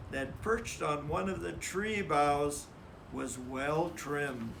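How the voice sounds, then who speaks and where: Male children's story reader, unhurried and deliberate, stressing words, with faint background noise. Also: a little high, a bit bright, poor audio. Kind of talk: read-aloud children's story narration.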